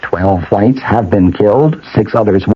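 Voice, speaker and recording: An American man reading a radio news report in a measured, factual way, on an old broadcast recording. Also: on the low side, a crowd in the background. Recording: muffled 1970s radio broadcast.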